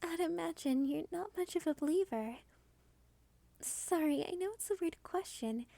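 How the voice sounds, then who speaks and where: A woman voicing a cute, dominant female vampire in an ASMR roleplay, soft-spoken, polite and teasing with quiet possessiveness. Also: very high-pitched, animated, moderate pace, gravelly, dry recording, full character voice. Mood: teasing, confiding.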